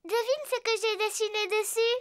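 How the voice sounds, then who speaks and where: A woman's voice: extremely high pitch, fairly even intonation, normal speed. A woman voicing a cheerful, bossy little girl pig in a children's cartoon, with a boastful, exclaiming, childlike delivery. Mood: proud, chatty. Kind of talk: animated children's cartoon dialogue. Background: music.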